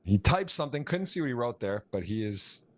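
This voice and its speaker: An American man calling a live poker stream, rambling and repeating words, and getting excited on big hands. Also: mid-range voice, brisk, no room sound. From United States. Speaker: poker commentator and stream host. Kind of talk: live play-by-play commentary.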